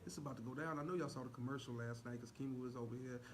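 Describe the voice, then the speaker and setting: A man's voice: mid-range voice, rapid-fire. A man co-hosting a livestream with playful, teasing banter and mock-churchy exclamations. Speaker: co-host greeting live viewers. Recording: noisy live video stream.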